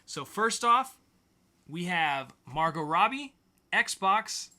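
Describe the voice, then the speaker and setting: Bright, punchy male podcast host. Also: sits high, sing-song, slow pace. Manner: announcer-like, with sarcastic quips. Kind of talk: scripted comedic pop-culture news delivery.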